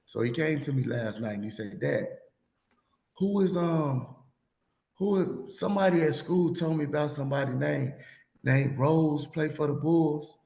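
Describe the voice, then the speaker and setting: Gravelly-voiced man hosting a sports podcast. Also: a little high, moderate pace, roomy sound. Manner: rambling, animated storytelling. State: losing his voice. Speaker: podcast host. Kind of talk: casual sports chat.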